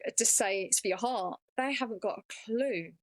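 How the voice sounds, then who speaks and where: A woman in a casual podcast conversation, talking fast and excitedly. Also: on the high side, no echo. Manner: fast, animated, excited talk. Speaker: podcast guest.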